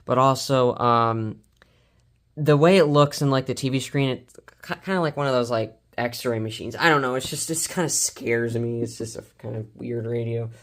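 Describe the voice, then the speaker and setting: A man's voice: mid-range voice, moderate pace, a bit bright. A man casually showing a collection in an unscripted vlog, talking in an informal, slangy, rambling and somewhat hesitant way. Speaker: hobbyist collector presenting a collection video.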